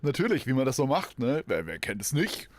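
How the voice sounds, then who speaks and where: A man's voice: mid-range voice, big pitch swings, fairly quick, slight background noise. A native German man giving casual, sarcastic reactions as he streams a game.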